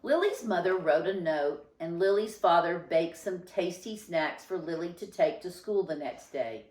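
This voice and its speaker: Slow-reading woman narrator, roomy echo. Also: lowish voice, animated, darkish tone. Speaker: storybook reader. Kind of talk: expressive children's story narration.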